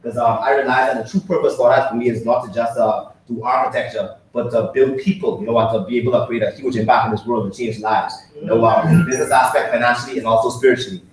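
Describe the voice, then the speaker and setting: A young Bahamian man tells his story fast and eagerly, laughing between lines. He sits far from the mic in a large room that rings with echo, with a faint hiss underneath. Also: medium pitch. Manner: excited, laughing, quick storytelling. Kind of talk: testimonial talk at a business meeting. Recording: echoey hall from far off.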